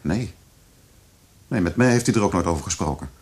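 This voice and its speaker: An actor playing an anxious, reproachful older brother in a radio drama. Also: male voice, low voice, lively intonation, fairly quick, darkish tone, husky, exaggerated voice. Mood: troubled. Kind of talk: Dutch radio drama acting.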